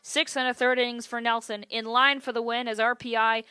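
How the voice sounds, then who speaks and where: A woman's voice: a little high, little pitch movement, a little fast, sharp tone, no echo, clean studio sound. A woman giving live play-by-play commentary as a sports announcer. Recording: clear gymnasium and ballpark ambient noise.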